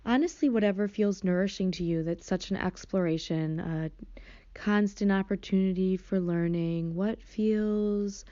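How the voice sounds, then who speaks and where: A woman guiding a meditation in a soft, slow, gentle way. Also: medium pitch, muffled recording. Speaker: meditation teacher. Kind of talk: guided meditation.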